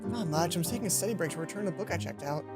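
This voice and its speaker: A woman's voice: fairly low pitch, rapid-fire, close to an everyday voice. A woman voicing a downcast, polite young student heroine in a visual novel, with a hesitant manner. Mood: polite, reluctant. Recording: music under the voice.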